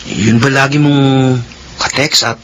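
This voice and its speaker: A man's voice: medium pitch, steady tone, measured pace, a bit bright, a little hiss or hum, natural voice. An actor playing a young man who prods his sister with teasing questions, in a classic radio drama. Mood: prying. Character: nosy younger brother, prying teen-ish young man.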